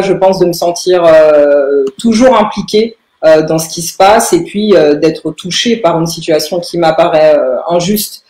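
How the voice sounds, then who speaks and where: A young woman, answering in a podcast interview with halting phrasing and very frequent filled pauses. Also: lowish voice, dark tone. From Paris, France. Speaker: lawyer, interview guest. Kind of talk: podcast interview answers about own career. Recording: roomy, echoing room sound.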